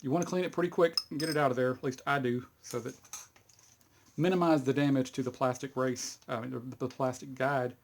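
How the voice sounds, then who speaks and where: A man's voice: medium pitch, steady tone, a little fast, slight background noise. A middle-aged man with a Texas accent casually walks through a fidget spinner cleaning demo in a rambling, folksy way. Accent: Texan. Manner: rambling, folksy, chuckles often, many fillers. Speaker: YouTube hobbyist demonstrating fidget spinner cleaning. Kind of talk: casual how-to demonstration.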